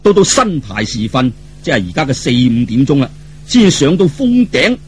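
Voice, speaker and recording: Animated Cantonese storyteller man, crisp lively swings. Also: mid-range voice, normal speed, faint music behind. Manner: colloquial, dramatic, with interjections and asides to the listener. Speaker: audiobook storyteller. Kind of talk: traditional Cantonese storytelling narration of a martial arts novel.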